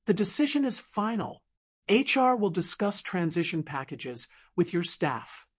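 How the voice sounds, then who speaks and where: A woman's voice: fairly low pitch, sing-song, measured pace, husky, exaggerated voice. A woman voicing a cold, controlling male resort CEO with an unyielding corporate manner, in an audiobook drama. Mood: coolly dismissive.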